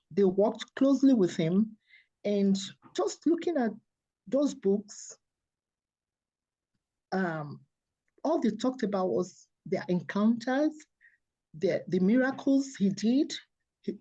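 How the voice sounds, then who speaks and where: Soft-spoken woman, mellow and lo-fi. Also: mid-range voice, animated, slow pace, slightly rough. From Nigeria. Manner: soft-spoken, earnest, laughs often. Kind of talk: interview guest telling her story.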